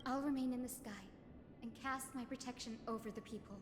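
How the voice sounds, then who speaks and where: An actress voices a high, girlish game healer, gentle yet resolute. She speaks formally and with measured care. The sound has long reverb and clear noise over it. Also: steady tone, natural voice. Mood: solemn, resolute. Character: gentle, composed young female healer, selfless and resolute. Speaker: game character voice actor. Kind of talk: scripted game dialogue.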